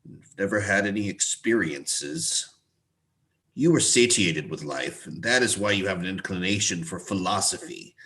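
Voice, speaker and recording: A man's voice: low voice, normal speed, a bit bright, poor audio. A man playing a wistful, grumbling retired civil servant of sixty-five in a play reading, plaintive and rambling. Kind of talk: cold play reading over video call.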